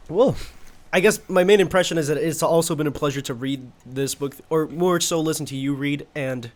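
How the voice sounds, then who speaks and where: A man speaking fluently as a guest co-reader in a casual conversation, relaxed and thoughtful. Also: fairly high pitch, moderate pace.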